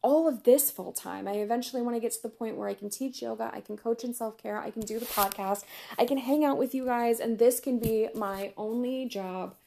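A woman hosting a casual solo podcast, chatty and upbeat with frequent laughs, her voice going hoarse. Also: a little high, a little fast, dark tone. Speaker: podcast host.

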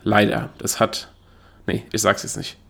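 A man's voice: on the low side, completely flat delivery, a little slow, vocal fry, a bit bright. A young adult man from Germany hosting his own solo podcast, talking in a chatty, self-correcting way and laughing at himself. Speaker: podcast host. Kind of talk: casual solo podcast monologue.

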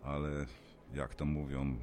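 An elderly neighbour in an audio drama, deep-voiced and folksy. He rambles hesitantly like an awkward gossip, with faint background noise. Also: completely flat delivery, slow, a little mellow. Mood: reluctant, sorrowful. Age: older. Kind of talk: naturalistic radio drama acting.

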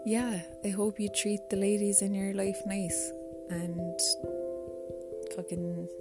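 A woman's voice: medium pitch, level tone, slow, over loud music. A young Irish woman hosting her own podcast, chatting casually, rambling, swearing freely and talking to herself. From Ireland. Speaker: podcast host. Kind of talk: casual solo podcast chat.

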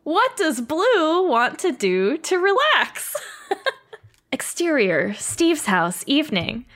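A woman's voice: high-pitched, sing-song, slow pace, fairly bright tone. A woman co-hosting a comedy podcast, chatting casually and reading stage directions. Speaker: podcast co-host and narrator. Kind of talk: casual podcast chat and script narration.

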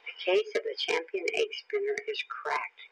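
A man's voice: on the high side, huge pitch swings, normal speed, very noisy. A man reading the part of a confident, clever boy detective in a children's audiobook, assured and instructing.

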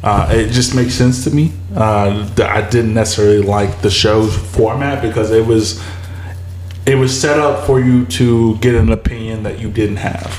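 A man's voice: lowish voice, darkish tone. A man hosts a casual podcast chat, voicing firm opinions while stumbling over his starts. He sits in an echoey room with faint noise behind him. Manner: talks in halting restarts, opinionated. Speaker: podcast host.

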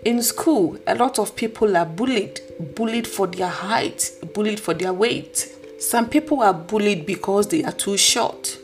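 A woman with a husky voice hosting a podcast, delivering an earnest, preachy motivational talk. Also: mid-range voice, measured pace, soft background music. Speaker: podcast host.

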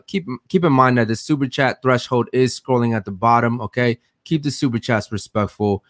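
A man's voice: medium pitch, no echo, studio quality. A man co-hosting a podcast, talking casually and laughing, calling the audience "man" and "bro". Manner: laid-back, says "man" and "bro" a lot, laughs often. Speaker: podcast co-host. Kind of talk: casual chat.

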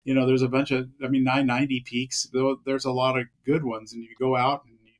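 A man's voice: mid-range voice, little pitch movement, fairly quick. A man chatting as a podcast guest, rambling with many fillers and restarts. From Pacific Northwest of the US. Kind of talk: casual interview chat.

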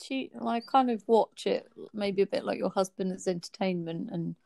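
A woman guest in a casual chat with a croaky voice, speaking in a playful way. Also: mid-range voice, moderate pace, mellow.

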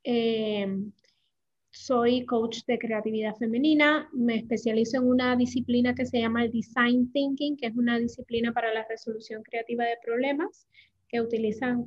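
Woman, 40s, light Puerto Rican accent; high-pitched, earnest interview guest on dull lo-fi audio. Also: fairly even intonation, moderate pace, darkish tone. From Puerto Rico. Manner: earnest, fluent, with many fillers. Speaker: guest, creativity coach. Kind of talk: casual podcast interview.

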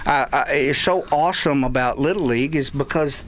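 A man with a Texan accent, a guest on a radio chat show, telling lively stories and acting out the quotes. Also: medium pitch, fairly quick, a little hiss or hum. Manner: animated storyteller, acts out quotes. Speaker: guest, youth baseball parent. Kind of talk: casual radio chat, storytelling.

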